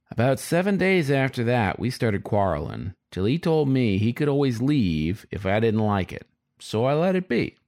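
A man's voice: medium pitch, a little mellow. A man voicing a sly, frustrated male fox who narrates his story in a wry, confiding, colloquial way, as in an audiobook. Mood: resigned, frustrated.

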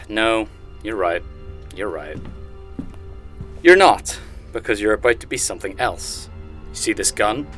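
An actor playing a menacing, sadistic hired gunman in an audio drama, taunting with theatrical, drawn-out threats. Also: on the low side, lively intonation, fairly bright tone, soft background music.

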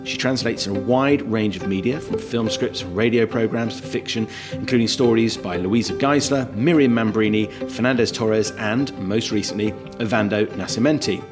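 Man, podcast host, scripted read, clear guitar music beneath the voice. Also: lowish voice, normal speed. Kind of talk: scripted announcements. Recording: guitar music playing underneath.

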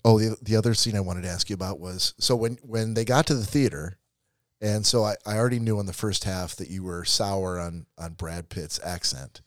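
A man with a very low voice talks casually about a movie, doubling back over his own words as he goes. He sounds close and dry, with no room around him. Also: fairly even intonation, brisk, dry recording. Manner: restarts and repeats words often. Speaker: podcast co-host.

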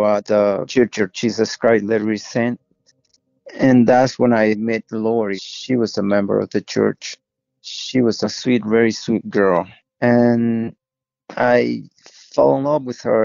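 A man with a Honduran Spanish accent and a low, gravelly voice talks very slowly, pausing as he searches for words in his second language. The audio is dull and lo-fi, with quiet music beneath. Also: dry recording. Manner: slow, halting, with pauses and soft laughs. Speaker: interviewee, widower. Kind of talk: personal recollection in an interview.

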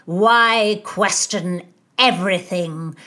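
A woman voicing a bawdy, puckish old nobleman in a storybook, bellowing verse in drawn-out theatrical declamation. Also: on the low side, pushed and bright. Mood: bawdy, declaiming.

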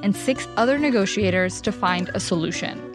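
A woman's voice: medium pitch, animated, moderate pace. A woman giving scripted narration for a podcast trailer. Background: guitar music.

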